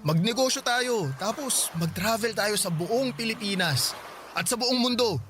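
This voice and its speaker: An actor playing a devoted, playful grown son in a Tagalog radio drama, teasing and affectionate. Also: male voice, high-pitched, measured pace, a bit bright, a little hiss or hum. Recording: music bed behind the voice.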